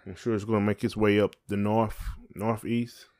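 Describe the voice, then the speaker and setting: A man's voice: on the low side, flat delivery, moderate pace, a little mellow. A man with a Southern American accent co-hosting a podcast in a casual, folksy, teasing chat. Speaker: podcast co-host.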